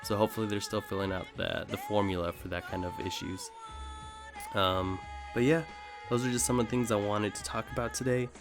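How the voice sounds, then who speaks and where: A man's voice: on the low side, fairly even intonation, brisk. A man hosting a gaming video, talking casually off the cuff in a hesitant, rambling way as he thinks aloud. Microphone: voice-over recorded over gameplay. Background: music under the voice.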